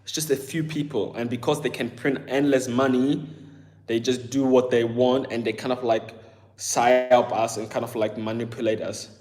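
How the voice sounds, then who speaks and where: A man's voice: mid-range voice, little pitch movement, moderate pace, darkish tone. A man with an accent that mixes Southern English, German and Ghanaian, chatting casually and rambling to his live-stream viewers. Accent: mix of Southern English, German and Ghanaian. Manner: rambling, full of fillers, addresses chat as bro. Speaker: livestream host.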